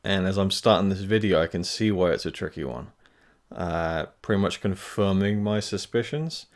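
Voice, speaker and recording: Man, very deep voice, casual vlog update, rambling with fillers, speaking gingerly through tooth pain. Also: normal speed. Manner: rambling, hesitant, many fillers and restarts. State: talking carefully because of tooth pain. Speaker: film review channel host. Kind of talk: casual channel update to camera.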